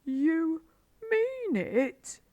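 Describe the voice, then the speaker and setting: A woman's voice: high-pitched, sing-song, slow pace, dry recording, dull audio, voice pushed up, heavily acted. A woman voicing a desperate, anguished old male badger in a storybook. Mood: amazed. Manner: anguished, pleading, loud.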